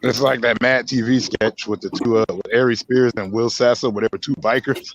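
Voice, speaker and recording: A man's voice: medium pitch, fairly even intonation, fairly quick, lo-fi sound, slight background noise. A man chatting casually on a live stream, joking crudely and laughing often. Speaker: stream co-host.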